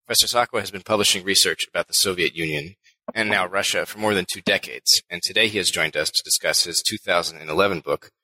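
A man's voice: sits low, fast, pushed and bright, no room sound, rough recording. A man hosting an interview, reading a polished introduction and asking questions. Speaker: podcast host, interviewer.

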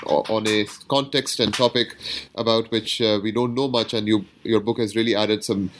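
A man's voice: lowish voice, steady tone, normal speed, a bit bright, slight background noise. A man hosting an academic book podcast, reading a formal introduction and then asking questions with many uhs. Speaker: podcast host, interviewer.

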